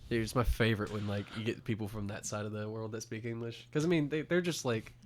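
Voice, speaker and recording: A man's voice: lowish voice, fast talker. A young man with a light Southern accent, co-hosting a casual podcast chat and laughing often. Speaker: podcast co-host.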